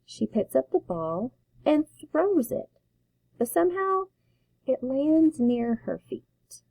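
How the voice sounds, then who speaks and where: Brief: teacher reading aloud to kids, slow and expressive, mellow voice, dry close sound. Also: female voice, high voice, vocal fry, dry recording, rough recording. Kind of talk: children's read-aloud.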